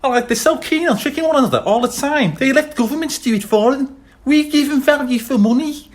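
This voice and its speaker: A man's voice: very high-pitched, a little fast, voice pushed up. Morris, a man doing a slick scheming cat. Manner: wheedling, persuasive salesman patter. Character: scheming streetwise male talking cat.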